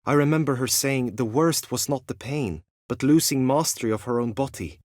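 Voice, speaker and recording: A man's voice: medium pitch, normal speed, darkish tone, no room sound, clean studio sound, natural voice. A man playing an earnest, lovestruck young knight in a fantasy video game, speaking in formal, archaic, courtly phrases. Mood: sorrowful, reminiscing. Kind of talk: video game voice acting, medieval fantasy drama.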